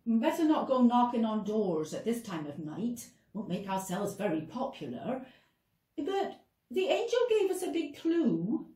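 A woman's voice: a little high, very animated, a little slow, mellow, distant mic. A woman voicing a worried young male shepherd who talks in a trembling, anxious way, recorded in an echoey room away from the mic.

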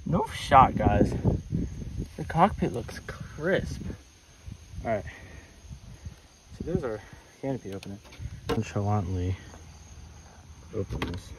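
A man casually vlogging as he explores old airplanes in a rural field, excited, swearing and talking to his viewers on a phone camera. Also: on the low side, slow pace, long pauses. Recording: phone audio outdoors with insect noise. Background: insects and crickets outdoors.

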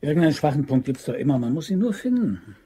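An actor playing a scheming, persuasive ex-convict locksmith in a German crime radio drama, with slangy, insinuating talk. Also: male voice, on the high side, very animated, quick pace, mellow, breathy edge. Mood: probing.

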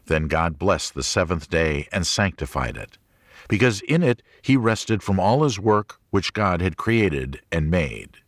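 Male narrator for religious audiobook: low register, measured and articulate reading, dry studio-like sound. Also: animated, no room sound. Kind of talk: scripted audiobook narration of a religious teaching book.

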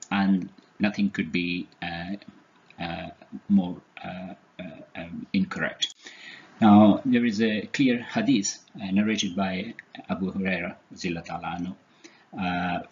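Low-voiced man teaching, muffled sound. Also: a little mellow. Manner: earnest, measured, many hesitation fillers. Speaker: Islamic studies presenter. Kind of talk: explanatory religious lesson.

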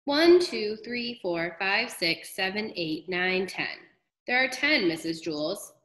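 A woman's voice: mid-range voice, sing-song, slow, fairly bright tone. Woman voicing a stubborn, pleading little schoolboy. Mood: earnest counting. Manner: protesting, pleading. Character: young schoolboy, stubborn, eager for recess. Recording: echoey room.

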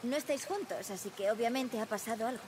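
A woman plays an outspoken, inquisitive teenage girl in a survival game. Her Castilian Spanish voice is high and direct, with clear rain noise behind. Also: fast talker, lightly acted. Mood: curious. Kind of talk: naturalistic video game acting. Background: rain, outdoor game ambience.

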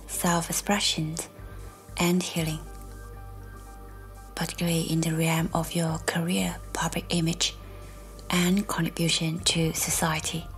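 A woman reading a scripted guided meditation in a soft, breathy, near-whispered voice, gentle and measured with long pauses. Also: sits low, little pitch movement. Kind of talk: slow, soothing guided meditation, scripted narration. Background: faint music.

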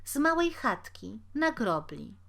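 Lady doing a humble sad old peasant woman from a fairy tale, meek and respectful, slow talking. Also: fairly high pitch, sing-song, creaky, a bit bright, pitched above the performer's own voice, full character voice. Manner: meek, deferential storytelling. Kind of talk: storybook character reading.

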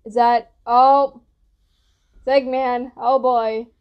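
A woman's voice: a little high, little pitch movement, slow, smooth, muffled recording. A young woman giving casual reaction commentary as she hosts a video, recorded indoors in a small room. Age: young adult.

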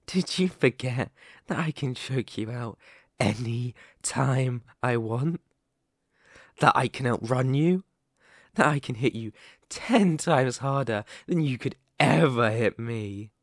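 A man voicing a sadistic, possessive werewolf captor in an ASMR roleplay, speaking in a deliberate, condescending, threatening way. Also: medium pitch, animated, no room sound, studio quality.

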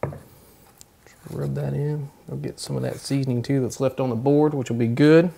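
A man in his forties hosting a home cooking show, explaining each step in a relaxed, easygoing way from his kitchen. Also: medium pitch, normal speed, creaky, husky. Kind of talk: casual cooking demonstration.

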